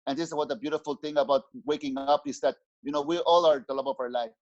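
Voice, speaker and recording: Earnest mexican-born guy on a headset video call, bit high voice, dull muffled audio, restarts a lot. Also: fairly even intonation, fast. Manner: earnest, searching for words, many restarts. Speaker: guest spiritual teacher. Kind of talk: reflective interview talk. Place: small room at home.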